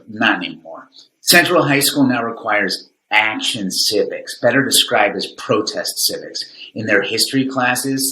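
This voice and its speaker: A man's voice: lowish voice, sharp tone, echoey room, rough recording. A man playing an indignant, combative father delivering his opinion piece emphatically and rhetorically. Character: indignant, combative conservative father writing against school program.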